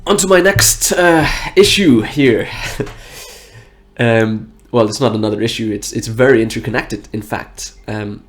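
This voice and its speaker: A man's voice: medium pitch, measured pace, a little hiss or hum. A nasal-sounding man with the flu and a stuffy nose, talking frankly and reflectively in a rambling personal monologue. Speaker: health vlogger.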